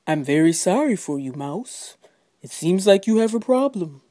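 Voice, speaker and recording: A woman's voice: lowish voice, animated, normal speed, mellow, no room sound, clean studio sound, natural voice. A woman voicing a politely unhelpful female cow in a children's story, with moos around mild apologies. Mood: politely sorry.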